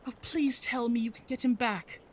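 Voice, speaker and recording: Woman playing a high-voiced, pleading cat owner. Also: fairly even intonation, moderate pace, lightly acted. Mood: anxious pleading. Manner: pleading, effusive. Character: distressed woman pleading for her missing cat. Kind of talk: scripted audio drama acting.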